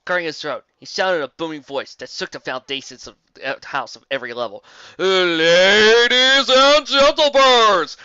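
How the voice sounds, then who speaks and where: Bright, expressive woman reading a story. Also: very deep voice, fast talker, hardly any pauses, no room sound. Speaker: storyteller, reader. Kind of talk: expressive scripted story narration. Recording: slightly dull, dry home recording.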